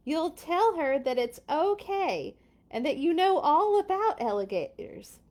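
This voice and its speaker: Animated high-voiced woman reading a storybook. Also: unhurried. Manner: playful, expressive, exclaims and comments while reading. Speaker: children's storybook reader. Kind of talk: animated read-aloud with casual chatty asides.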